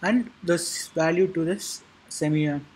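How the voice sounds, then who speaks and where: A man narrating an informal software tutorial in a halting, repetitive way, with a husky voice. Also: a little high, rough recording. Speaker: tutorial presenter.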